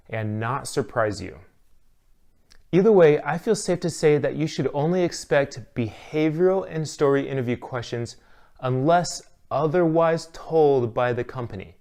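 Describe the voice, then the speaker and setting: A man's voice: medium pitch, expressive, normal speed, a little mellow. Man narrating a scripted lesson. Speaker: instructor.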